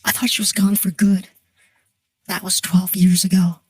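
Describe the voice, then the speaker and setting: A woman in her thirties playing an earnest, troubled client who recounts her haunting in a measured, scripted dramatic monologue. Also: medium pitch, little pitch movement, breathy edge, dry recording. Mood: earnest, wistful. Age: 30s. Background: soft music.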